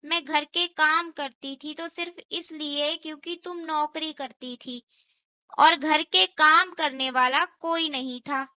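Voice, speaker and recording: A woman's voice: high voice, steady tone, takes it slowly, sharp tone, dry recording, clean studio sound, exaggerated voice. A woman voicing an earnest, dutiful young daughter-in-law in a cartoon story, speaking carefully and sincerely. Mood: hurt, reproachful. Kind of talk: scripted cartoon story dialogue.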